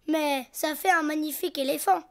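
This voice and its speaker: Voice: high voice, brisk. A performer voicing a cheerful, imaginative little boy donkey in a children's cartoon, chatty and childlike. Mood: cheerful, busy. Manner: childlike, talking to himself, expressive.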